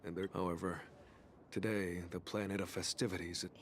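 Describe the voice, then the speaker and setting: Game role: grave storyteller of war history, lowish voice, faint noise. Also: male voice, flat delivery, a little fast. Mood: solemn. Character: solemn man recounting history of fallen heroes. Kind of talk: video game voice acting.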